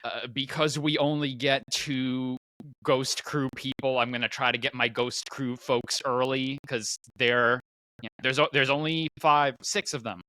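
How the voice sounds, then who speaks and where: A man co-hosting a podcast in casual chat, explaining things haltingly and repeating his words as he goes. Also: medium pitch, fairly even intonation, sharp tone, dry recording, poor audio. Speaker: podcast host.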